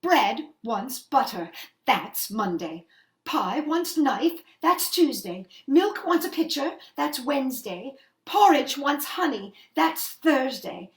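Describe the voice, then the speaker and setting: A woman voicing a desperate, determined storybook mother with loud, pleading, drawn-out calls. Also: high voice, big pitch swings, reverberant, full character voice. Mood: triumphant. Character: desperate, determined mother demanding her children back.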